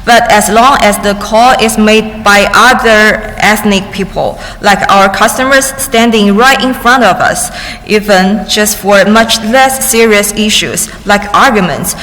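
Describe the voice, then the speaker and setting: A woman interpreting testimony into English at a public hearing, halting at times, with restarts and cut-off words. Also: medium pitch, fairly bright tone. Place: large public hearing chamber. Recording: echoey hearing room with faint ambience.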